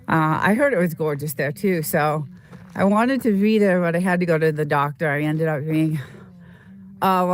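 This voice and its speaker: A woman hosting a casual live stream outdoors in the desert, sniffly with a slight cold, talking in a halting, rambling way full of fillers as she greets viewers. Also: low-pitched. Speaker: livestream host.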